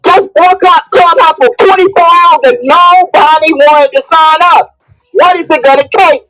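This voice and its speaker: A woman's voice: extremely high pitch, moderate pace, fairly bright tone, poor audio. A woman calling in to a live discussion, speaking emphatically and repeating phrases for force. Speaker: caller in a live audio discussion. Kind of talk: impassioned spoken opinion.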